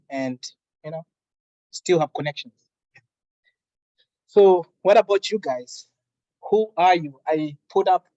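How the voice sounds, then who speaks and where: A young man from Malawi opens a classroom workshop in a relaxed, chatty way. His voice sits fairly high for a man. The recording is dry and a little muffled. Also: no room sound. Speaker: workshop facilitator. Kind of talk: friendly classroom introduction.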